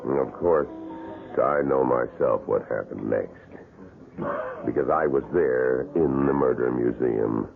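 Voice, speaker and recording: Gravelly deep-voiced museum guide. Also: male voice, slow, over music, full character voice. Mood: grave, recounting. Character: wax murder museum tour guide, grandiose barker turned grave storyteller. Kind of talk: 1970s radio drama acting. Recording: dull, narrow old radio broadcast.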